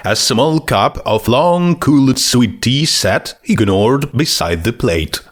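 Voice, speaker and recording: A man's voice: medium pitch, animated, slow. A man narrating a written comic story as a scripted audiobook-style reading. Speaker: narrator of fan fiction.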